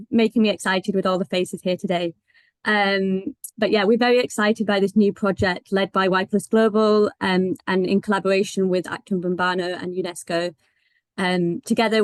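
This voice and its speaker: A woman's voice: mid-range voice, no echo. A woman with a failing voice, giving informal welcome remarks as a senior advisor, with many ums and restarts. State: losing her voice. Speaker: senior advisor at a partner organization. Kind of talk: welcome remarks at an online meeting.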